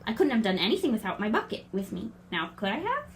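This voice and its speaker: A woman playing a brisk, confident, quirky girl in a family audio drama, breezy and matter-of-fact. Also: medium pitch, very animated, a little hiss or hum. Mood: proud, brisk.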